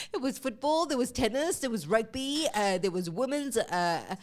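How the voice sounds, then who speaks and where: A woman from South Africa hosting a live sports talk show, chatty and lively, a bit congested from a cold. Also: a little high, a little slow. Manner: chatty and lively, with fillers and self-corrections.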